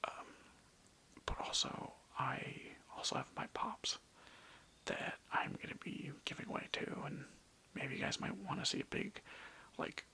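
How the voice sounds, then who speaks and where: Casual whispered ASMR ramble, creaky and crisp. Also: mid-range voice, huge pitch swings, unhurried, bright, forceful tone. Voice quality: breathy, mostly unvoiced whisper. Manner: casual, rambling, filler-heavy delivery. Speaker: host of a toy-collection ASMR video.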